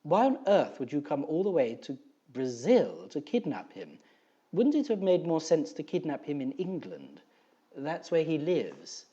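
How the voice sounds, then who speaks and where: A reader voicing a polite, earnest man who questions things with calm curiosity. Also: medium pitch, a little slow, lo-fi sound. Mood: puzzled, reasoning.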